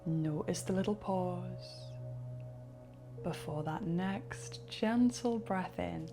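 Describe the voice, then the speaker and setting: A woman leading a guided relaxation, speaking slowly and softly, sometimes dropping into a whisper. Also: on the low side, music in the background. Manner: slow, soft-spoken, partly whispered. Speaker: meditation guide.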